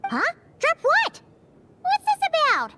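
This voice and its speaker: A woman voicing a tiny, excitable female fairy companion in a game, with a squeaky, bouncy delivery. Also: slow pace, very noisy, exaggerated voice. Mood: puzzled. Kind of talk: game voice acting.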